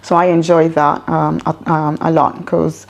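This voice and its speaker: Low, creaky-voiced woman, mixed accent. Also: level tone, a little slow. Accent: multicultural mixed accent with some American. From born in Ghana, grew up in Italy. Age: 30s to 40s. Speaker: interview guest. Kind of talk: conversational interview answers. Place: her own home, indoors.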